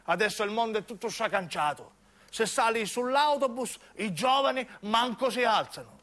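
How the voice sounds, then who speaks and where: Man doing a grumbling, high-voiced old southern Italian. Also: lively intonation, normal speed, sharp tone, exaggerated voice. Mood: weary, indignant. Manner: complaining, emphatic.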